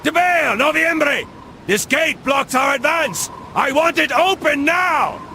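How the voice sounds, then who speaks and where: An actor playing a cruel, glory-hungry crusader commander in a video game, haughtily barking orders. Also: male voice, extremely high pitch, lively intonation, takes it slowly, gravelly, bright, forceful tone, a little hiss or hum, exaggerated voice. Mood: furious, impatient. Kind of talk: dramatic video game acting.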